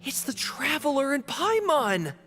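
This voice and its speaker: A woman's voice: sits high, very animated, measured pace. A woman voicing a keen, earnest and frank youngster in a video game. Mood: excited.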